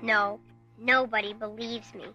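A woman's voice: on the high side, little pitch movement, measured pace, sharp tone, low-quality recording. A woman playing an earnest, persistent young girl in a radio drama, youthful, blunt and plain-spoken. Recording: radio drama with music underneath.

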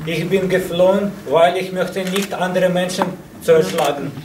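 A man's voice: high voice, steady tone, unhurried. A young man introducing himself earnestly on a theatre stage in careful learner's phrasing, heard from a camera in the audience. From Ukraine. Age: young adult. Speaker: stage performer. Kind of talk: simple self-introduction on stage. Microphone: audience camera far from the stage. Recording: echoey hall from far off, noisy.

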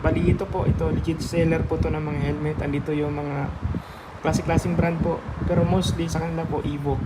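A man with a Filipino accent giving a casual, halting how-to narration as a vlogger, often searching for words. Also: fairly high pitch, flat delivery, very noisy. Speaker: motovlogger and host giving a product installation guide.

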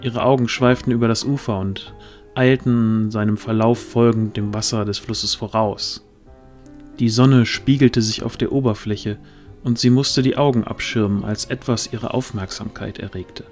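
A man with a fairly low voice narrates a fantasy audio play. The delivery is scripted storytelling. Faint music plays beneath the words. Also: steady tone, normal speed, a little mellow.

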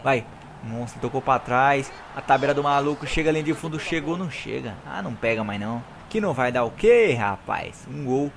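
Fast-talking hoarse Brazilian gamer guy. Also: medium pitch, a little hiss or hum. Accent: Brazilian Portuguese. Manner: excited, slangy, talks to viewers. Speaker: gaming YouTuber. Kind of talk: live gameplay commentary.

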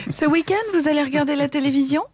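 A woman's voice: high voice, level tone, a little fast, darkish tone. A woman radio co-host who playfully feeds straight lines in a scripted comedy interview.

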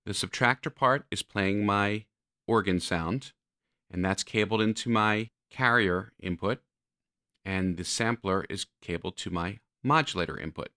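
Male tutorial narrator, bright voice, fairly low pitch, instructional, step-by-step screencast delivery. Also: a little slow, no room sound, studio quality.

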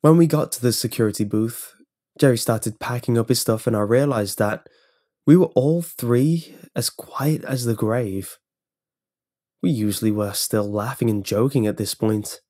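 A man's voice: medium pitch, normal speed, mellow. A man reading a scripted first-person horror story as its narrator.

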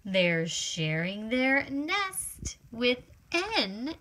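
A woman reads a children's storybook aloud at a very slow, deliberate pace. Her voice is high and bright, and she performs the text expressively for small children, with exclamations and a sing-song delivery. There is faint noise behind her. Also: very animated. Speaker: author reading own picture book.

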